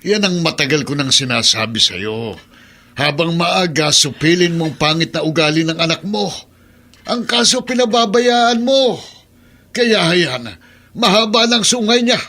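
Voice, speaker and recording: A man's voice: fairly high pitch, animated, unhurried, bright, forceful tone, full character voice. An actor playing a stern old grandfather, scolding and nagging in a radio drama. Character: stern, grumbling old grandfather who favors discipline.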